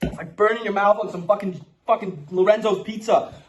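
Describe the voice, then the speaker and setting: A man's voice: sits high, steady tone, fairly quick, natural voice. A man playing a brash, streetwise, foul-mouthed Bronx guy with a New York accent. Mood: brash, grumbling. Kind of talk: casual ranting and mock-solemn declaration reading.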